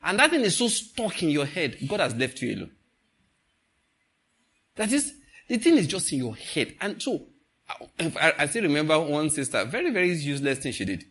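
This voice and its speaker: Male preacher, Nigerian accent, high voice, very lively intonation, informal storytelling sermon, drops into Pidgin. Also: moderate pace, slightly rough. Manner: animated storytelling, acts out quoted speech, slips into Pidgin. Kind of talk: informal sermon with stories and jokes.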